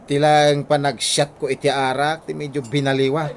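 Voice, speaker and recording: A man's voice: a little high, flat delivery, moderate pace, slight background noise, close to an everyday voice. A man playing an easygoing, dutiful young graduate son in a radio comedy drama, with a Filipino accent. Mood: relaxed, joking.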